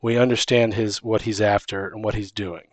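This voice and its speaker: A man's voice: fairly low pitch, little pitch movement, no room sound. Rambling man in casual discussion, close dry sound. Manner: rambling, halting, restarts sentences mid-thought. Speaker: podcast co-host.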